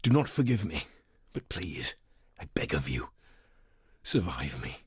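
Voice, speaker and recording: A fallen, grief-stricken emperor for a video game. His deep, whispery voice creeps along in slow, mournful phrases. It sounds dry and intimate. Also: big pitch swings, dry recording, exaggerated voice. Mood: despairing remorse. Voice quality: breathy, whispery. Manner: hushed, mournful, halting. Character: broken, remorseful fallen emperor of a dying legion. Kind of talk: scripted video game monologue.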